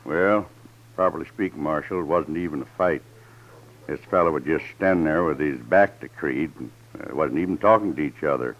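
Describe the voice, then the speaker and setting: A man's voice: very deep voice, normal speed. An actor playing a plainspoken western barman in a 1950s radio drama, folksy and matter-of-fact. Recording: muffled old radio recording.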